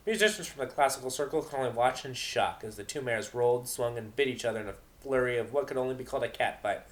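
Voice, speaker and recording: A man's voice: medium pitch, quick pace. A man reading narration for an amateur dramatic reading of a fan fiction story. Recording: roomy, echoing home recording.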